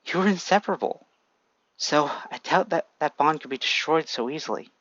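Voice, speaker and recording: A man voicing a gentle middle-aged mother, speaking softly and soothingly. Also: on the high side, animated, normal speed, dull audio.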